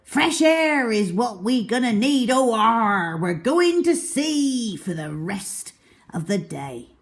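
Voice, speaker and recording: A woman voicing a blustering male pirate captain from a children's storybook, speaking loudly in pirate talk. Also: on the high side, sing-song, takes it slowly, voice pushed up, full character voice. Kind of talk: storybook character voice.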